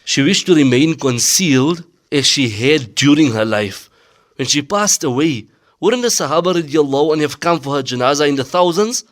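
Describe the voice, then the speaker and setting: A man's voice: fairly high pitch, expressive, normal speed, natural voice. A man playing a stern Islamic teacher, preaching rhetorically in an audio drama. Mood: admonishing. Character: stern male Islamic teacher admonishing students on modesty. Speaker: religious teacher.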